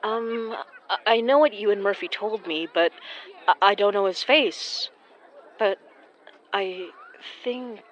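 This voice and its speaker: An actress playing a gentle, anxious young woman who senses haunted objects, speaking haltingly in audio fiction. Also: medium pitch, some chatter behind, a little hiss or hum, low-quality recording. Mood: hesitant, uneasy. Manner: hesitant, halting, stammering. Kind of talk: audio fiction acting with first-person narration.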